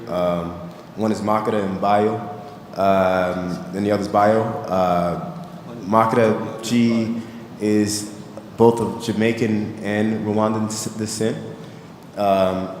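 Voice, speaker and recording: A man moderating a conference panel in a casual, joking way, with halting questions. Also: fairly low pitch, a little mellow. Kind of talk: panel discussion. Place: large conference hall on stage. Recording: echoey hall with faint noise.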